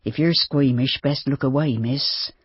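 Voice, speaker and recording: A practical, plain-spoken older farmer, calm and matter-of-fact, read by a storyteller in a near-normal voice. The tone is husky. The recording is dry and a little muffled. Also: medium pitch, unhurried, no room sound. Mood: steady, practical.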